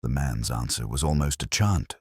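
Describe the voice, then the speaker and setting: A man narrating a dramatic mystery story with a measured, solemn, literary delivery. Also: rumbling low, expressive, darkish tone, husky, no echo, studio quality. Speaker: audiobook narrator.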